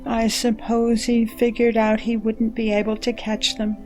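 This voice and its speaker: A woman voicing a caring ship computer in a sci-fi audio drama, reading a message in a gentle, measured, plain-spoken way. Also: fairly high pitch, monotone, faint music behind, lightly acted. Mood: calm, chatty. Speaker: voice actor.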